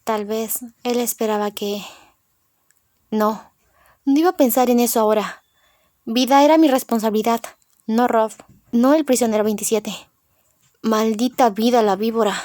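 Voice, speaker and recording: A woman narrates a first-person novel as an audiobook. Her voice sits somewhat high. The recording is dry and close, with little room sound. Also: steady tone, normal speed, long pauses, darkish tone, no room sound.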